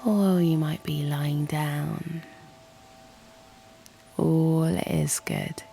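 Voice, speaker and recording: Super slow soft breathy lady doing guided meditation, low mellow voice, almost whispering. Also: fairly even intonation. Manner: soothing, hushed, gently encouraging. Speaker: yoga nidra guide and channel host. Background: soft music.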